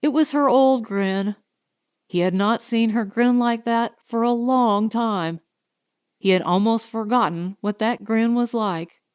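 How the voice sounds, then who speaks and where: A woman reads a scripted science fiction audiobook as its narrator. Her voice is smooth and clean, and she takes the sentences at an unhurried, slow pace. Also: fairly high pitch, a little mellow, dry recording, clean studio sound.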